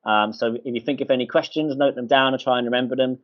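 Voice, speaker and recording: A man hosting an online college event, talking hesitantly with many pauses. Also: medium pitch, steady tone. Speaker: college host. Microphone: video call. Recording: muffled video call audio.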